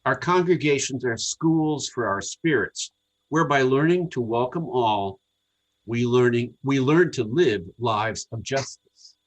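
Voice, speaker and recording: Slow, husky man delivering a sermon. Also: medium pitch, lively intonation, rough recording. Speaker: congregational service speaker. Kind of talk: sermon read from a script.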